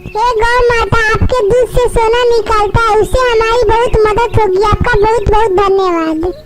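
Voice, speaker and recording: Woman doing a sweet, squeaky little bird wife. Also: steady tone, normal speed. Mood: grateful. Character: sweet, devout female bird wife, small and polite.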